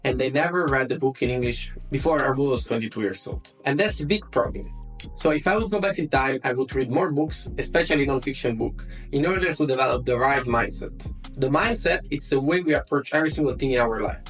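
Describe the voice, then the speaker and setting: A man's voice: medium pitch, a little fast, a bit bright, faint music behind. A young man from Italy with an Italian accent, speaking English as a second language in an earnest self-help vlog, repeating words for emphasis. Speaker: self-development vlogger. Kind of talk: advice monologue to camera.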